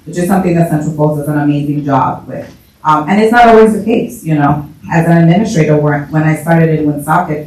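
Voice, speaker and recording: A man's voice: a little high, darkish tone. A man from New York, a school administrator, giving a formal, personal answer at a public meeting. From New York, United States. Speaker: school administrator, board candidate. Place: indoor council meeting room. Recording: very echoey room, distant mic.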